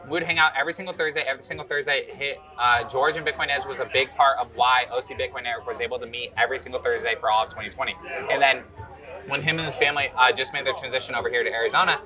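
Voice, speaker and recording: A man's voice: medium pitch, fairly even intonation, very fast, crowd noise, rough recording. An American man hosting a casual podcast conversation in an eager manner, often affirming what he hears. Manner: eager, frequent short affirmations. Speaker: podcast host.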